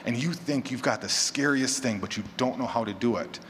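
A man's voice: mid-range voice, a little fast, a bit bright. A man giving emphatic, informal, encouraging advice as a panelist. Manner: encouraging, emphatic, casual swearing. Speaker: panelist and podcaster. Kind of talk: informal motivational panel talk. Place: large convention room with audience. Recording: echoey hall PA, noisy room.

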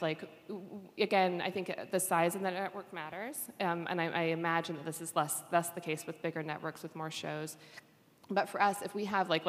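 A woman speaking casually on a panel, thinking aloud as a network executive would in a conversational discussion. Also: lowish voice. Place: conference session room. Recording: echoey hall, long reverb.

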